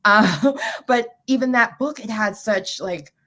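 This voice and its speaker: A woman's voice: on the high side, takes it slowly, bright, forceful tone. A woman hosting a talk show, chatting casually with self-deprecating asides.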